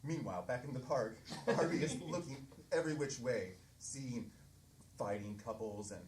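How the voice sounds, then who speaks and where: A man's voice: mid-range voice, moderate pace, darkish tone. A man narrating improvised comic scenes as a performer on a theatre stage. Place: theatre stage, live show. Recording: distant echoey theatre, faint noise.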